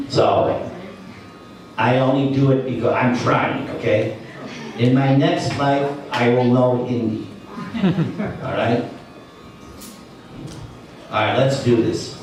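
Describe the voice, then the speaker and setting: A man's voice: medium pitch, animated, darkish tone, slight background noise. A man giving a devotional temple lecture, leading the audience phrase by phrase in call-and-response with a few light jokes. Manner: slow, deliberate phrase-by-phrase leading of repetition; light joking asides to the audience. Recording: echoey hall, distant mic, music behind.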